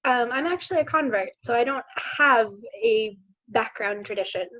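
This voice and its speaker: A woman with a slightly high voice speaks softly and reflectively. The sound is dull and lo-fi, like video call audio. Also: moderate pace. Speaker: event participant. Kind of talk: casual sharing on a video call.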